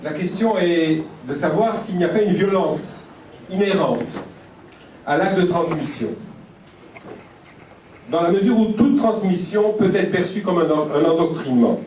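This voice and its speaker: A man from Algeria gives a conference talk in a high, light voice, thoughtful and measured as he stacks his points into lists. He is picked up from some distance away in a large, echoey hall, with a faint hiss of room noise underneath. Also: darkish tone. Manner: reflective, measured, with pauses and lists. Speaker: speaker at a conference. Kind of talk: lecture.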